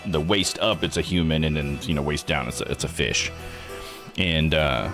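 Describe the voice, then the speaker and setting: A man hosting a live game playthrough, chatting informally and rambling through his commentary. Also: sits low. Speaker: live streamer and host.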